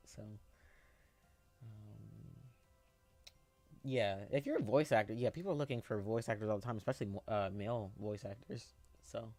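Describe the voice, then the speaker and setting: A man in his twenties with a youthful, boyish voice, chatting on a live stream as he works. His delivery rambles and stalls, full of fillers and restarts, pitched right in the middle for a man. The sound is dry and close. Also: dry recording. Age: 20s. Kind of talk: casual live-stream chat answering viewers.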